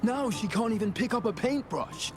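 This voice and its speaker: A resentful henchman who speaks bluntly and reproaches his boss. His voice is quite high, and the game's music plays clearly behind him. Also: animated, moderate pace. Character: resentful male gang underling, blunt. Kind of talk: video game voice acting.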